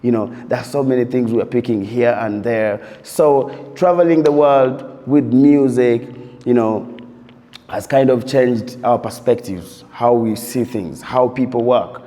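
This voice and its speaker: A man's voice: mid-range voice, unhurried, dark tone. A man from Uganda, a DJ and producer reflecting in an interview, telling his story loosely and leaning on "you know" throughout. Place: interview set while on tour abroad. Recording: long reverb.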